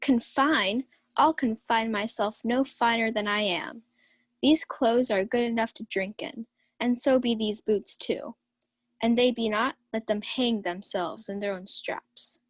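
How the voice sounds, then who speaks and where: Drunken uncle knight played by a woman for radio Shakespeare: blustering, defiant, fairly high voice, close and dry. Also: unhurried, no echo. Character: hard-drinking, blustering uncle, a carousing old knight. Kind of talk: student Shakespeare radio acting.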